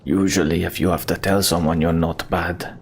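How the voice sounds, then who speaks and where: Man voicing a terse, deep, skeptical warrior. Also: level tone, moderate pace, slight background noise. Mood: guarded.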